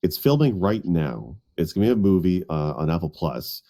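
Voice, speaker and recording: A man's voice: low-pitched, big pitch swings, normal speed, mellow. A man co-hosting a podcast, chatting casually about films with friends. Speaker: podcast co-host. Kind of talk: casual group chat.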